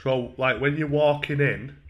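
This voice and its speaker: A man in his twenties with a Northern English accent, talking casually and mock-earnestly as a deadpan, hesitant prank caller at a desk microphone. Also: medium pitch, a little slow. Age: 20s. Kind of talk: casual prank phone call. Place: small room at home. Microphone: desk microphone on a stand.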